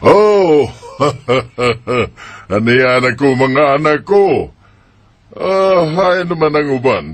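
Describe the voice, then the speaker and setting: A man with a Cebuano Filipino accent playing a proud, gruff older father in a Bisaya radio drama. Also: a little high, lively intonation, very slow, no room sound, soft background music. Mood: proud, dismissive. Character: proud, gruff aging father returning to his family.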